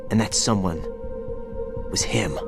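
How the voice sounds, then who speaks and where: A man's voice: low-pitched, takes it slowly, vocal fry, over loud music, full character voice. An actor playing a haunted male soldier in a video game drama, brooding, intense and bitter in his delivery. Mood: haunted, grim.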